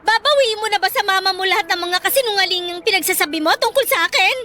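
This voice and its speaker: High-voiced, wounded, reproachful housemaid. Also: female voice, fast talker, sharp tone, slight background noise. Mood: pleading, bitter. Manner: emotional, accusing, emphatic. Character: betrayed, hurt housemaid, older-sister figure, bitterly reproachful. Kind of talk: Tagalog radio drama acting. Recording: music playing behind the voice.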